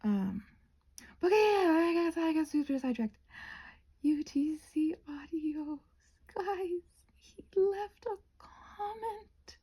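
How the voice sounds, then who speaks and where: A woman rambling casually to her viewers while crafting, hesitant and self-deprecating, trailing off and often slipping into a breathy near-whisper. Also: very high voice, lively intonation, takes it slowly, pauses often, mellow. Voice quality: breathy, husky with creak.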